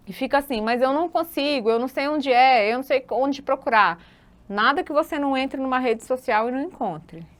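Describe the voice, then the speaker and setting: Patient Brazilian woman teaching online. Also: on the high side. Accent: Brazilian Portuguese. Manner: patient, explanatory, checks in with "ok?". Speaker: sign language teacher. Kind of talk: online class, explaining to students.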